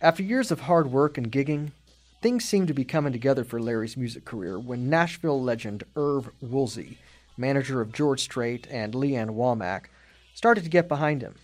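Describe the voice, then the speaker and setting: A man hosting a podcast, reading scripted documentary narration in a measured, expressive way. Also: medium pitch, soft background music.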